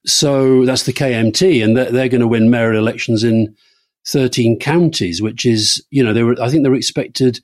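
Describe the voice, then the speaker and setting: A man's voice: medium pitch, steady tone, normal speed, no room sound, clean studio sound. A man co-hosting a podcast, talking politics with wry teasing and frequent restarts. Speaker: podcast co-host. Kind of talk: political discussion.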